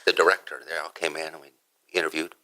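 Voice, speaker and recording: A man's voice: very deep voice, little pitch movement, fast, pushed and bright, no room sound, poor audio. A man speaking casually as a radio DJ and interview guest, emphatic and repeating himself for stress. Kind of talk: casual chat.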